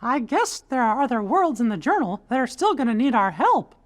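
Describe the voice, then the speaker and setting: A man's voice: very high voice, sing-song, fairly quick, no echo. A man voicing a small, optimistic cartoon mouse king in a video game, upbeat and reassuring. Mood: thoughtful. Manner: upbeat, encouraging. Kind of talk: animated game voice acting.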